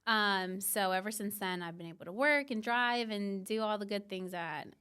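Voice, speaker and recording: A woman's voice: mid-range voice, moderate pace, pushed and bright, clean tone. A young woman from Mexico, a podcast guest talking through her life in a reflective, casual way with plenty of fillers. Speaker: podcast guest, business founder.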